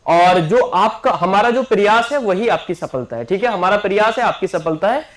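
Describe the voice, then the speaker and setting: A man's voice: high-pitched, lively intonation, moderate pace, fairly bright tone. A man, a coaching teacher announcing an exam batch to students, repeating himself and often checking in with them. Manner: repetitive, keeps checking in with listeners. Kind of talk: promotional class announcement.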